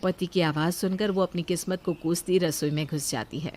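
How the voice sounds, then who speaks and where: A woman's voice: lowish voice, steady tone, a little fast, darkish tone, a little hiss or hum. A woman reading scripted narration as the storyteller of a moral tale.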